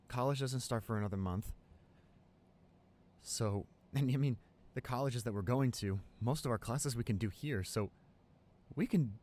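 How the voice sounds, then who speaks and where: An excited young college boyfriend played by a man in an improvised ASMR roleplay. He gushes in a mid-pitched male voice, stumbling and repeating himself with giddy, sentimental wonder. The delivery is intimate roleplay addressed to a partner. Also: lightly acted. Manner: gushing, halting, repeats himself in amazement.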